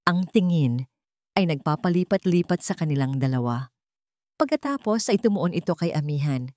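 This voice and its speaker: A woman's voice: low-pitched, expressive, normal speed, dark tone, no echo, studio quality. A woman narrating a scripted dramatic story as its storyteller.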